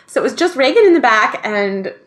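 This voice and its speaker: A woman's voice: on the high side, sing-song, a little fast. A woman in her thirties, a podcast co-host, chatting casually and telling a story with lots of "like" fillers and easy laughs. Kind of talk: casual chat.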